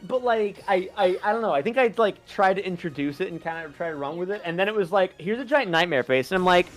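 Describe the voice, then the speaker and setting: An excitable voice actor chatting casually on a live stream, swearing freely and breaking off to restart thoughts. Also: medium pitch, quick pace. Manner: sweary, excitable, self-interrupting. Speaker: voice actor reflecting on a fandub.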